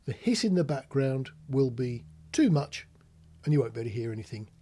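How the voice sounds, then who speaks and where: A man's voice: medium pitch, very animated, a little fast, warm tone, breathy edge. A British man giving an informal, practical tutorial, explaining things plainly with anecdotes as an instructor. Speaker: marine radio instructor.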